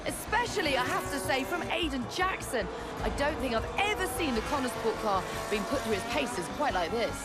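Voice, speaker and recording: An admiring female race pundit co-commentates for a game broadcast. Her voice is high and very animated, with emphatic phrasing. Loud music runs behind her. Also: normal speed, over a noisy crowd.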